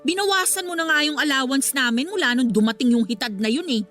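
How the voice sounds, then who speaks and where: Radio drama, female: blunt, proud Filipina kept woman, highish pitch, faint music behind. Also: lively intonation, fast, fairly bright tone. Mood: defiant. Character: proud, stubborn young kept woman who stands her ground.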